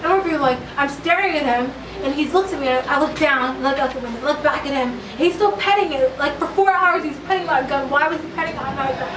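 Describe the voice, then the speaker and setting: A young woman telling a story casually, in an animated, slangy way, acting out quotes. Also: high voice, quick pace, few pauses. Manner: animated, slangy, dramatic retelling with acted quotes. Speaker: interviewee. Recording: echoey, distant, noisy street recording.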